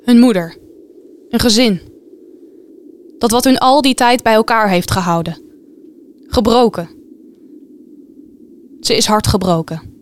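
A woman delivering dramatic scripted narration as the storyteller of a radio play. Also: on the high side. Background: music.

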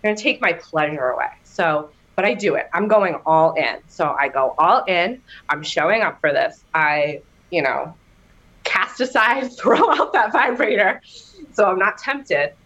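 Lady with a low voice, chatty, guest on a podcast, gets giggly and excited. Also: a little slow, highs cut off. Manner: animated storytelling, laughing, bursts of high excitement. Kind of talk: candid personal testimony in a podcast interview.

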